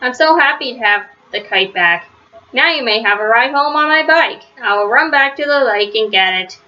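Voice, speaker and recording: A woman's voice: on the high side, animated, moderate pace, natural voice. A woman voicing a friendly, helpful boy in a children's storybook, speaking in earnest exclamations. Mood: grateful. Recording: dull, lo-fi sound.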